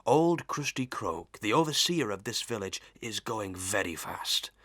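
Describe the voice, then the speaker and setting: A man acts out a wheedling village busybody in a Victorian audio melodrama. The voice is fairly low and very bright, recorded close and dry. He rambles self-importantly, hemming and trading in old sayings. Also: very animated, unhurried, no room sound, heavily acted. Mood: ingratiating. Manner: rambling, proverb-spouting, self-important, hemming with throat-clearing.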